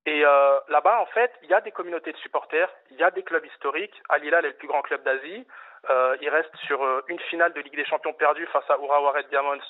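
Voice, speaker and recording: A man's voice: fairly high pitch, fast talker. A male caller making an insistent case about football on a radio phone-in, with many fillers and restarts. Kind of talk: animated phone-in football debate. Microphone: telephone. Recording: tinny phone line.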